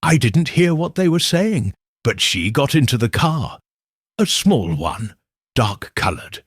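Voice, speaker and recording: Man reading as a nervous young maid, slow. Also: mid-range voice, sing-song, breathy edge, no echo, studio quality, heavily acted. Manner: halting, nervous.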